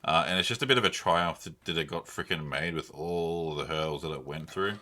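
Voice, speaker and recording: A man's voice: rumbling low, a little fast, pushed and bright. A man co-hosting a podcast, chatting casually about films in a rambling, slangy, enthusiastic way. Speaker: podcast co-host. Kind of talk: casual film discussion.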